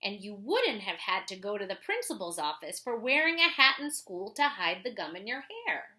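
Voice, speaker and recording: A woman's voice: mid-range voice, sing-song, normal speed, sharp tone, voice pushed down. A woman voicing an exasperated mother in a children's picture book, lecturing her young son in a firm, emphatic way.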